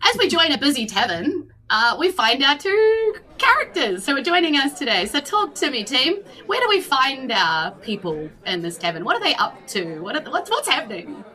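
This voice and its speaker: A woman's voice: high-pitched, sing-song, normal speed, few pauses, pushed and bright. A woman with a New Zealand accent, hosting and narrating as game master on a tabletop roleplaying podcast.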